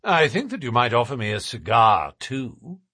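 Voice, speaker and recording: A man voicing a calm, self-assured detective in a measured, dry manner. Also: medium pitch, slightly rough, no echo, full character voice. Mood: cool.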